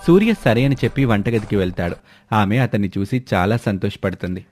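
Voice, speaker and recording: A man narrating a children's moral story in a steady, plain way. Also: on the low side, brisk, soft background music.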